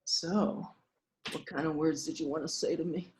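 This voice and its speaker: A woman's voice: sits low, steady tone, moderate pace, darkish tone, close to an everyday voice. A woman voicing a goofy, oblivious young man with a nonchalant, rambling manner, reading from a script. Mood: suggestive. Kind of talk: script read-through.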